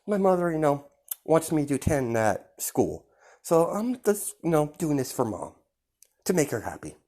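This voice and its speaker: Guy doing a super nice polite teen boy in a fan story, higher pitch, eager, kinda halting. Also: big pitch swings, dark tone. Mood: earnest, pleasing. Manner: overly nice and friendly, halting. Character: eager, sweetly polite teenage boy hiding a cold side.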